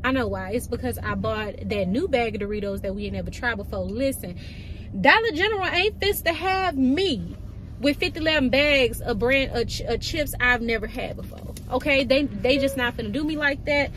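A woman in her thirties vlogging from the driver's seat, her voice sitting slightly high as she chats away to viewers. She is animated and folksy, full of asides. The phone at arm's length picks up plenty of car and road noise. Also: moderate pace. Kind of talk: casual vlog chat to camera. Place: parked car, then home room. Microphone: phone camera at arm's length.

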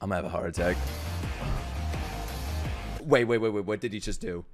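A young man streaming his reaction to an anime at home, cracking crude jokes and breaking into sudden loud outbursts. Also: lowish voice, fairly quick, music in the background. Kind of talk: live reaction commentary. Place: home streaming room with gaming chair. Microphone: studio mic on boom arm, headphones on. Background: anime soundtrack playing faintly.

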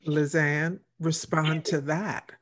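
A woman's voice: deep voice, flat delivery, slow, a loud crowd. An older woman hosting a conversational interview over a video call on a headset. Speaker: radio show host.

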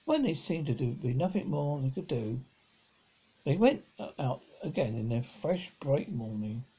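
A middle-aged man reads a children's story aloud, stumbling over words and rereading them, in a husky, gravelly voice. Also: mid-range voice, big pitch swings, unhurried, mellow, poor audio. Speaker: amateur audiobook reader. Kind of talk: reading a children's novel aloud. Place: living room at home, on a sofa.

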